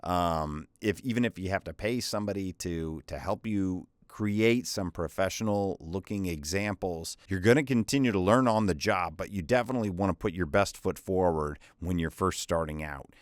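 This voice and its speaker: A man with a deep bass voice, pitched on the low side, shares freelancing advice in a casual, loose manner with the odd filler and restart. The recording is dry and close, with no room sound. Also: normal speed, few pauses, dry recording. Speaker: voiceover artist and freelancer teaching viewers. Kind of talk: tutorial with screen walkthrough.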